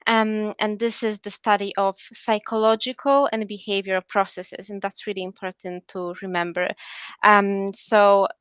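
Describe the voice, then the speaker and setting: A young Polish-accented woman gives a presentation in a smooth, clear voice, with close, dry sound. She explains things earnestly, often pausing on "um" and restarting her phrases. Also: medium pitch, flat delivery, a bit bright, no room sound. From Poland. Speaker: assistant psychologist, guest speaker. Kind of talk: presentation talk.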